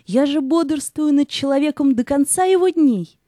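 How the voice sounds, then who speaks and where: Casting: actress as serene spirit of light, audio play; soft-toned, high voice, tender. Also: female voice, moderate pace, no room sound, clean studio sound, close to an everyday voice. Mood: tender, sorrowful.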